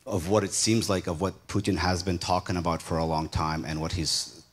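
Deep-voiced man giving an earnest church talk. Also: level tone, husky. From United States, born in Ukraine. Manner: conversational, earnest, often pausing to rephrase. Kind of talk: informal talk to a congregation.

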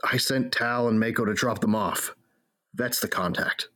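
A man acting as an impulsive young smuggler in a sci-fi audio drama, excitable, defensive and casual, with a husky voice. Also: mid-range voice, moderate pace, close to an everyday voice.

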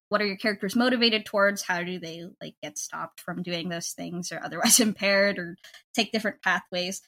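Bright-voiced woman chatting informally in interview. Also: fairly low pitch. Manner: informal, frequent "like" as a filler. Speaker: audio drama creator, interview guest. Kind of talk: casual interview chat.

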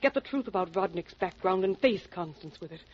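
An actress playing an anxious, genteel widow, earnest and dramatic, in a 1940s radio detective drama. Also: female voice, lowish voice, lively intonation, a little fast, breathy edge. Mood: worried, pleading. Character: anxious, genteel widowed female client pleading for help. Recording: muffled old radio broadcast recording.

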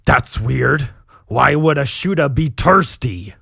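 A man voicing a brutish, excitable orc warband captain in an acted fantasy story, bellowing in crude broken grammar. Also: mid-range voice, slow, gravelly, slightly rough, dry recording, studio quality, full character voice. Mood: puzzled, suspicious.

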